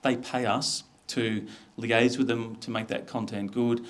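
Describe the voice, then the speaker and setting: A man's voice: medium pitch, steady tone, measured pace. A middle-aged Australian man giving an informal business presentation in a conversational, explanatory way. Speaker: media executive presenting.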